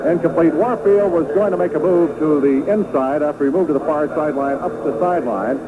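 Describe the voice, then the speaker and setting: A man's voice: fairly high pitch, a little fast. A man doing live play-by-play football commentary for radio, describing the action fluently in clipped phrases. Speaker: play-by-play sports announcer. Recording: vintage radio broadcast, narrow band, heavy noise.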